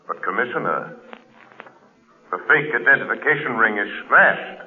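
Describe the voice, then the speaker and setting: An actor playing a calm, confident male detective in measured, declarative old radio drama lines. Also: medium pitch, natural voice. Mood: assured. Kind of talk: 1930s radio drama acting. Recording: tinny old radio with music.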